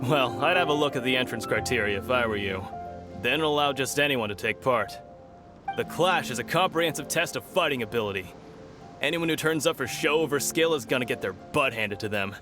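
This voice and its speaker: A man's voice: mid-range voice, lively intonation, brisk, music in the background, lightly acted. A man voicing a boastful, cocky fighter in a video game, with a brash manner.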